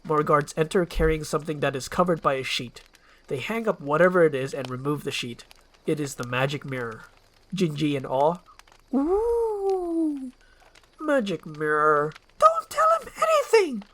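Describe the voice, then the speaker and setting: Low-pitched woman narrating a screenplay. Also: fairly even intonation, fairly quick.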